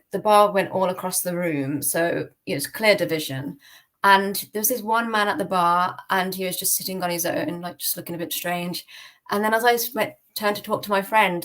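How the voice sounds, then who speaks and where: A British woman speaks as a podcast guest, recounting personal experiences in an informal, candid way. Her pitch sits in an ordinary middle range for a woman. The delivery is conversational, loosely phrased and sprinkled with casual filler words. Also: fairly even intonation, normal speed, a bit bright. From United Kingdom. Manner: candid, conversational, peppered with "like" and "kind of". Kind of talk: informal personal storytelling in an interview.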